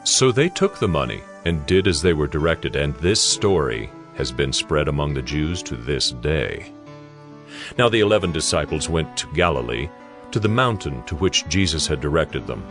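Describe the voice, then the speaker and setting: A man with a very low voice narrates scripture as a solemn script. His delivery is measured and dramatic, and music plays clearly underneath. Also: big pitch swings.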